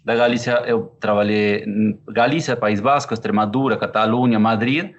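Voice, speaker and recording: Man, Argentine accent, 30s, chef, relaxed thoughtful speech, lots of fillers. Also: fairly low pitch, normal speed, dull audio, slight background noise. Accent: Argentine Spanish. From Córdoba, Argentina. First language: Spanish. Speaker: chef and pizzeria partner. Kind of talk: interview answers.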